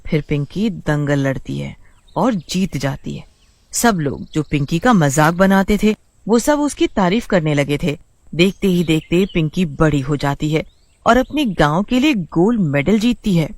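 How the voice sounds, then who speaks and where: A woman's voice: low voice, animated, measured pace, a little mellow, no echo. A woman narrating a scripted children's story as the storyteller.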